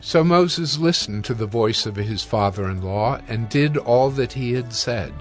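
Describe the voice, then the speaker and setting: A male narrator reads scripture for an audio drama. His medium-pitched voice is measured and solemn, with clear phrasing and soft music in the background. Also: lively intonation.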